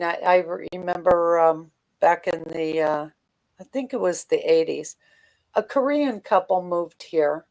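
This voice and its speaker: An older American woman as a guest commentator, talking politics conversationally in a halting, blunt manner. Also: lowish voice, a little mellow, poor audio.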